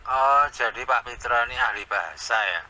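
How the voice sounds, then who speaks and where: A man questions his opponent in an informal religious debate. His voice is mid-pitched and very sharp. He speaks in a challenging way, with faint noise behind him. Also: lively intonation, moderate pace.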